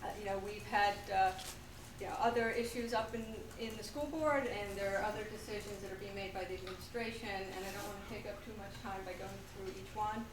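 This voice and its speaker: A woman in her thirties giving an earnest public comment at a town meeting, with some halting fillers. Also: medium pitch, steady tone. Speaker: school board candidate. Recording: echoey room from far off, noisy.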